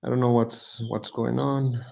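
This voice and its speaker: Halting man. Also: medium pitch, little pitch movement, vocal fry, mellow. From Ecuador. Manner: halting, searching for words. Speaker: guest, tourism representative. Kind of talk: presentation on a video call.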